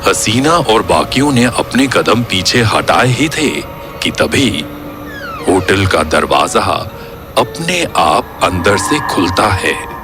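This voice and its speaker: Male narrator, scripted horror storytelling, low pitch, very slow pace, music bed. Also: big pitch swings.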